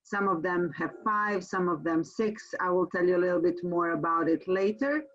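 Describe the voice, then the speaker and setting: A woman from Croatia with a Croatian accent gives a webinar sales presentation as a tour company presenter, speaking haltingly with frequent pauses. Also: fairly low pitch, a bit bright. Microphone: online webinar call. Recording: muffled, band-limited webinar call audio.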